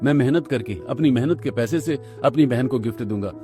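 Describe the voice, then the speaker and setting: A man's voice: mid-range voice, a little fast, over music, lightly acted. A man voicing a poor, devoted young working brother in a cartoon story, earnest, reassuring and affectionate. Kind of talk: Hindi cartoon story dialogue.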